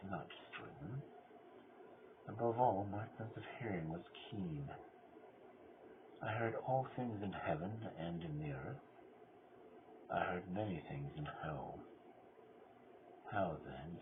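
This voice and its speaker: A man playing a nervous, boastful mad murderer who insists he is sane, told as dramatic gothic horror with swings between loud insistence and hushed whispering. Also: low-pitched. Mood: agitated, insistent, then hushed, eerie. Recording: muffled lo-fi microphone with loud noise.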